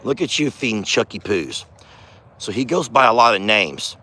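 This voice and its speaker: Hoarse man, folksy farm vlog. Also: on the low side, sing-song, moderate pace. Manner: folksy, chatty, talks softly to his bull. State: hoarse, lost his voice. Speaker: farm vlogger, channel host. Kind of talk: casual outdoor vlog. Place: outdoors on a farm by a bull pen. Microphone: handheld phone camera.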